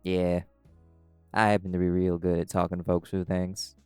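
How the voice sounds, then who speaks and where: A man playing a calm, caring were-dog pack alpha in an audio roleplay, gently reassuring a friend. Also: deep voice, moderate pace, clear, resonant tone, no room sound, faint music behind, lightly acted. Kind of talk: audio roleplay acting, intimate comforting talk.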